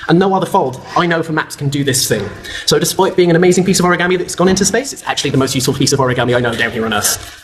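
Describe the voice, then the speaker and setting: A man's voice: fairly high pitch, very fast. A man giving an eager, explanatory demonstration as a guest presenter, showing how a paper fold works as he talks.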